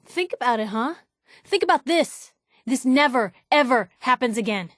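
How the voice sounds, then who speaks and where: Game companion role: feisty young woman reporter, lightly high pitch, wry, guarded, emphatic, naturalistic delivery, very dry recording. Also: expressive, moderate pace, a bit bright, dry recording. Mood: stern. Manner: sardonic, emphatic, hesitant when vulnerable. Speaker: video game companion character. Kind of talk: naturalistic game dialogue acting.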